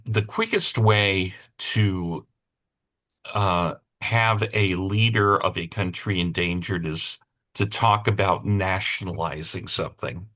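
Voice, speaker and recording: A man with a low voice asks his question on a video call. He gropes for words, restarting phrases and filling pauses with "uh" and "um". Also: fairly bright tone. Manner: halting, many fillers and restarts. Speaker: audience member asking a question. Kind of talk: question in a forum discussion.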